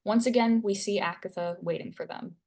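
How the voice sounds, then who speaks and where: A woman's voice: medium pitch, a little fast, darkish tone. A woman narrating stage directions from a screenplay at a table read.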